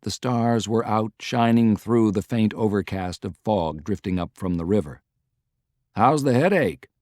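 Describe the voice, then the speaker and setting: A man's voice: lowish voice, fairly even intonation, moderate pace, warm tone, dry recording. A man reading a historical novel as scripted, dramatic audiobook narration.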